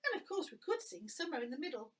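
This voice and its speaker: A woman with an English accent, teaching young children to sing in a gentle, encouraging way. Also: sits high, sing-song, quick pace, over loud music. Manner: gentle, encouraging, sings phrases for copying. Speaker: music teacher. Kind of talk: children's singing lesson.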